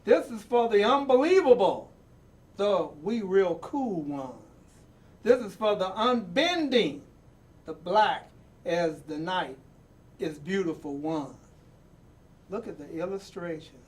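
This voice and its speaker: Slow, high-pitched man reading aloud expressively. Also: animated. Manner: deliberate, emphatic, with repeated phrases and dramatic pauses. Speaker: storybook reader and presenter. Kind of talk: expressive read-aloud of a picture book with personal asides.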